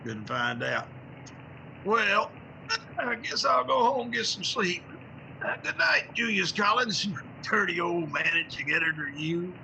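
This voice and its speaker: Sharp, scrappy newspaper reporter, played by a man. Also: high voice, big pitch swings, measured pace, gravelly, a little hiss or hum, heavily acted, poor audio. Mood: weary, tipsy. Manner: wisecracking, colloquial. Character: wry, scrappy male newspaper reporter, hard-drinking. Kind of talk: 1950s radio drama acting.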